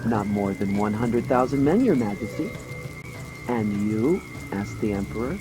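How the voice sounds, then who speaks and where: Expressive male narrator with music behind. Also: fairly low pitch, measured pace, rough recording. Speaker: audiobook narrator. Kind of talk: dramatized scripted narration of commentary. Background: music and rain sounds.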